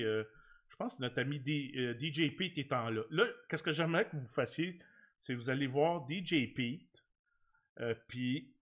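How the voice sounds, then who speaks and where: A man's voice: mid-range voice, a bit bright. A man in his forties with a Québécois accent, chatting informally and effusively as the host of a sports livestream. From Quebec, Canada. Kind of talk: casual livestream chat with guest and viewers. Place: small home studio room, indoors. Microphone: large desk microphone close up.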